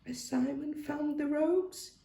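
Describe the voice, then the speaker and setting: Woman doing a proper, anxious gentleman doctor, higher-pitched. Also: steady tone, measured pace. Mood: musing. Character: male doctor, proper, anxious but determined. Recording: roomy, echoing sound.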